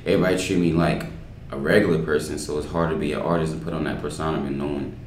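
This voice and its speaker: A young man from Kansas City, Kansas, talking about his rap music in a relaxed, loose podcast interview in a library room. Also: a bass voice, quick pace, a loud crowd. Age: young adult. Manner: relaxed, loose, filler-heavy speech. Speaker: guest rapper. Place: library study room, indoors. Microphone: desktop microphone. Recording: echoey room.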